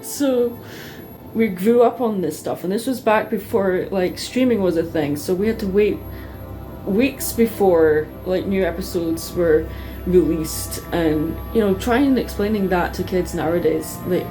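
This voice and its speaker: A woman telling personal stories to her viewers in a casual, chatty, expressive way, like an enthusiastic fan. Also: lowish voice, unhurried, soft background music, poor audio. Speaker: vlogger.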